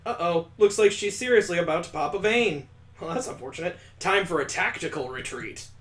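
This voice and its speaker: A playful man reads a visual novel aloud and breaks in with jokes as the let's play host. His voice sits a little high for a man. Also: brisk, a bit bright. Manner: playful, joking asides.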